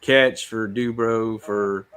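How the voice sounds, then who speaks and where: A man's voice: on the low side, fairly even intonation, takes it slowly, a bit bright, clear, resonant tone. A man chatting casually as a podcast panelist, in laid-back, slangy talk. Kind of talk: casual chat about fishing gear.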